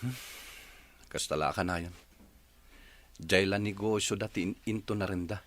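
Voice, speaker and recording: A man playing a lonely, soft-spoken young rich master with an Ilocano accent in a radio drama. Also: deep voice, steady tone, moderate pace. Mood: wistful.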